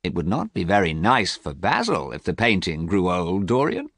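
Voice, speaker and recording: A male reader acts out a confident aristocratic gentleman in a storybook. He is amused and assured. His intonation is expressive and rises and falls widely. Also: lowish voice, unhurried, creaky, no room sound, clean studio sound, pitched above the performer's own voice, heavily acted.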